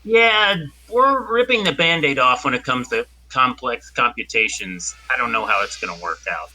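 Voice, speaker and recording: Male tabletop player, very bright forceful voice, lively pitch, halting in-character explanations. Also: slightly rough, low-quality recording. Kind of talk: improvised in-character role-play.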